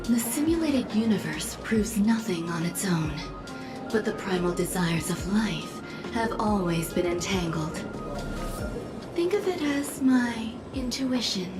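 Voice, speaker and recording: An actress playing a cool, secretive woman scholar in video game story dialogue, deliberate and enigmatic, with music behind her. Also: mid-range voice, animated, natural voice. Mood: intrigued. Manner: deliberate, enigmatic, dramatic pauses. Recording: music under the voice.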